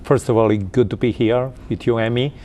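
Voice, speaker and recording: A man in his fifties from Croatia with a Croatian accent, answering interview questions in a halting way with many fillers. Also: mid-range voice, fairly even intonation, warm tone. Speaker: interview guest.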